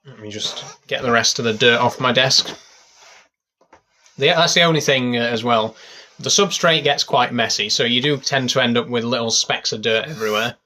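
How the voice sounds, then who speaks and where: A man's voice: mid-range voice, normal speed. A young man chatting casually on a live stream from his bedroom, rambling with lots of fillers. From England. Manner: rambling, relaxed, many fillers. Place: bedroom at home.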